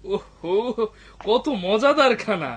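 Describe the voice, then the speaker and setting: A man voicing a scheming bandit chief who poses as an effusive, flattering guest in a cartoon. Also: high voice, slow pace, breathy edge, full character voice. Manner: effusive, oily. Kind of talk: dubbed cartoon acting.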